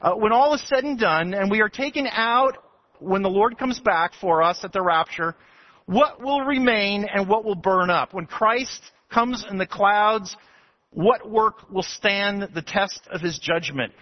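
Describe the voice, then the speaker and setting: A man pastor from Texas preaching an expository sermon to his congregation, animated and building to emphatic passages. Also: high-pitched, measured pace. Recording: dull lo-fi sound.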